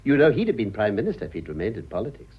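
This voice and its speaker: An actor with a British accent playing an urbane, avuncular older gentleman with dry irony in a radio drama. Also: on the low side, huge pitch swings, rapid-fire, husky, full character voice. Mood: reflective. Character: urbane, wry older English gentleman, a deserted husband. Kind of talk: stage comedy radio drama acting. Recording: slightly dull, lo-fi audio.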